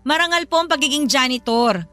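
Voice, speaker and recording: High-voiced, earnest Filipino daughter. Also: female voice, fairly quick, sharp tone. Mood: protesting. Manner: polite but firmly protesting. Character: earnest young adult daughter, respectfully defiant, protective. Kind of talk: Filipino radio drama acting.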